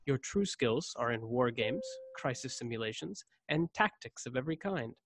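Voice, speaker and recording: A man plays a starship ensign in a sci-fi radio play. He is officious and condescending, speaking in clipped, pompous, formal phrases at a middling pitch. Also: lively intonation, normal speed, lightly acted. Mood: accusing, superior.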